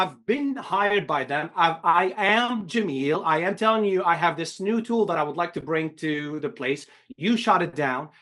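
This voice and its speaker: Man, middle-aged, from Syria, emphatic, stumbling restarts, slightly high pitch. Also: bright, forceful tone. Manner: emphatic, repetitive restarts, swears. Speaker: animation director, podcast guest. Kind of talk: candid interview talk.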